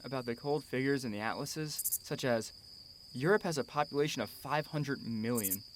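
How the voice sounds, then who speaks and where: A man playing a gentle, earnest husband and expectant father in a radio drama, with a tender, reflective manner. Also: medium pitch, slight background noise, lightly acted.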